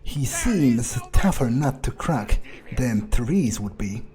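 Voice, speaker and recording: A man voicing an arrogant, menacing vampire predator in improvised video game roleplay, with a halting, smug, contemptuous drawl. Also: medium pitch, sing-song, dark tone. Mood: gloating.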